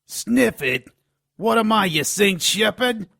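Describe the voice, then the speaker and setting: An actor playing a lecherous, sardonic gnome informant in an audio drama, leering and wisecracking in a gravelly voice. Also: male voice, sits high, animated, slow, pushed and bright, no echo, studio quality, full character voice. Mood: grumbling, mocking.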